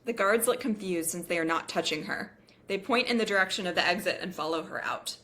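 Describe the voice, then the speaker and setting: Lower-pitched woman reading stage directions. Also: a little fast, fairly bright tone. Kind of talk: scripted narration at a table read.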